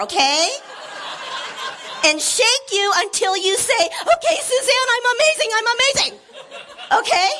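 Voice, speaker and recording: A woman with a bright, forceful voice gives a casual sermon to her congregation. She is encouraging and enthusiastic, laughs often and sometimes gets loud and emphatic. Her voice sits a little high. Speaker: church leader giving a talk.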